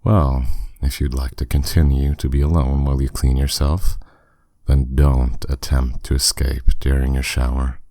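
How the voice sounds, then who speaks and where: A man's voice: rumbling low, little pitch movement, moderate pace, dark tone, no room sound, studio quality. A man playing a dominant, suave demon lord in an intimate roleplay audio drama, speaking in a commanding, condescending, teasing way.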